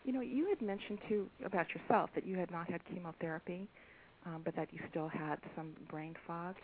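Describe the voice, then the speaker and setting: A soft-spoken woman, an author, being interviewed over the phone, speaking hesitantly with many pauses and ums. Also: lowish voice. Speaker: author and guest. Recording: tinny phone line with faint hiss.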